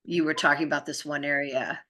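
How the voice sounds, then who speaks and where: A middle-aged woman co-hosting a spiritual talk show, explaining in a casual, halting, searching way. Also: low voice, fairly even intonation, sharp tone. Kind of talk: casual conversational chat.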